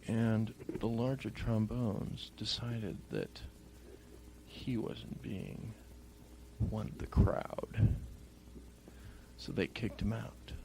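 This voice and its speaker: Gravelly man telling a slow bedtime story. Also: fairly low pitch. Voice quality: gravelly, creaky. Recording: noisy home recording with hum.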